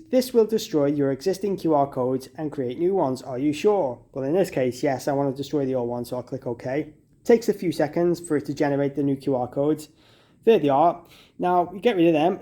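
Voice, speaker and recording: Higher-voiced man giving casual tutorial. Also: mellow. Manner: informal, conversational, explaining step by step. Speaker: product demonstrator.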